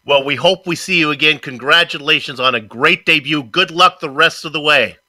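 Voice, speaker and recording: A man hosting a sim racing broadcast, upbeat and energetic in his announcer delivery. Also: a little high, moderate pace, dry recording. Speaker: broadcast commentator and host. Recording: music bed playing under voice. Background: loud music.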